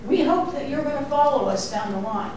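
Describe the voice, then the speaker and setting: A middle-aged woman introduces a speaker with brisk, teasing humor, her voice a little high. She sounds distant from the mic, in a very echoey room with noticeable background noise. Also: lively intonation. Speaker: board member introducing the speaker. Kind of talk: event introduction. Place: indoor lecture room with audience. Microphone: handheld microphone.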